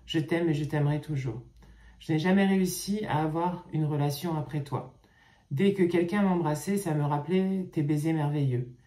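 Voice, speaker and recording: A woman YouTuber reading fan fiction aloud and pausing often to comment, in a chatty manner. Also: sits low, dark tone. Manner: chatty, reading slowly, with frequent "ok" and hesitations. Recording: roomy echo.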